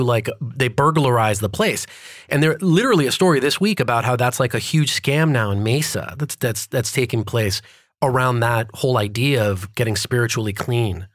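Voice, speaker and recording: A man's voice: mid-range voice, no room sound, clean studio sound. A man hosting an interview podcast, talking informally and building up long, conversational questions. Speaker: podcast host.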